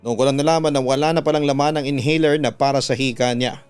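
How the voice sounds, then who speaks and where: A man's voice: mid-range voice, steady tone, fast, a little mellow, clear, resonant tone. A man who works as a radio announcer, narrating a dramatic first-person story. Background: background music.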